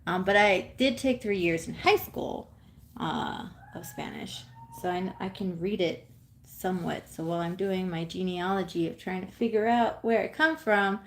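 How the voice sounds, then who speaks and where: A woman's voice: mid-range voice, normal speed, slight background noise. A woman in her thirties from California, chatting casually on a live stream at home while she knits, rambling with many ums and small laughs.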